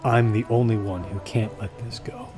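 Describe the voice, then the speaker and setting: A man's voice: fairly low pitch, fairly even intonation, music in the background, lightly acted. Actor as hesitant, persistent man with a mystery obsession. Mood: brooding, probing. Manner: hesitant, apologetic, halting. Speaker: actor in an audio drama. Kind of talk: naturalistic audio drama acting.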